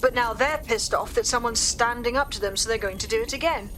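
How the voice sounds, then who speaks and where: An actress playing a blunt, defiant, sweary resistance hacker leader in a video game. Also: female voice, a little high, brisk, fairly bright tone, a little hiss or hum, close to an everyday voice, poor audio. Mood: concerned. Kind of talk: scripted video game dialogue.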